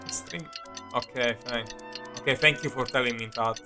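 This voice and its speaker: A man's voice: mid-range voice, fairly even intonation, moderate pace. A man streaming a game with casual live commentary, rambling, giggly and often repeating himself. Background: faint game music.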